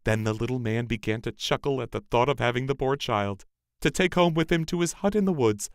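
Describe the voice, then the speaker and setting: A man narrating a scripted fairy tale as a storyteller, in an expressive, measured manner. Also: medium pitch, clear, resonant tone, dry recording, clean studio sound.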